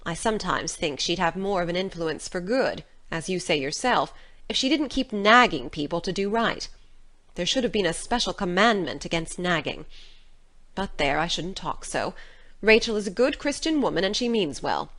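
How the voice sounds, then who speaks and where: A woman playing a practical, stern but kindly older guardian, dry and measured, in a dramatic reading of a classic novel. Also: fairly low pitch, lively intonation, a bit bright. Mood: wry, confiding. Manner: dry, measured, matter-of-fact.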